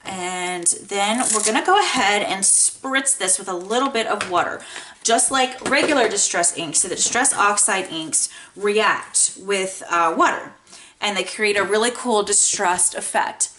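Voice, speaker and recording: Female craft tutorial host, mid-pitched and bright, congested with a light scratch, casual and enthusiastic. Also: measured pace. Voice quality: a little scratchy. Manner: chatty, enthusiastic. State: congested from a sinus infection. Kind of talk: casual how-to craft demonstration.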